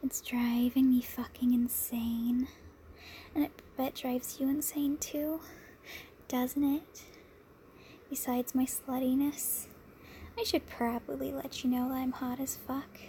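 Slow, high-pitched woman whispering intimately. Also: flat delivery, a little hiss or hum. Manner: breathy whispering mixed with soft murmured speech; sultry, drawn-out, intimate delivery. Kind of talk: erotic audio roleplay, intimate monologue addressed to listener.

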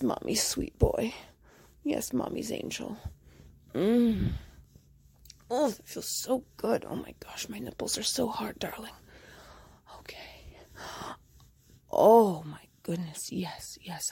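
Slow breathy whispering woman. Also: fairly high pitch, dry recording. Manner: slow, doting and coaxing. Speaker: roleplay performer. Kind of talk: whispered intimate roleplay ASMR.

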